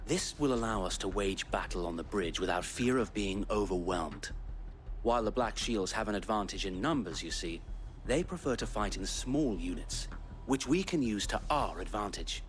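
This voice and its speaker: Gallant rebel knight played by a man: lowish British voice, formal and resolute, lively intonation, for a game cutscene. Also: a little hiss or hum, natural voice. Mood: briefing, assured. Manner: formal, measured military speech. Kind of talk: video game cutscene acting.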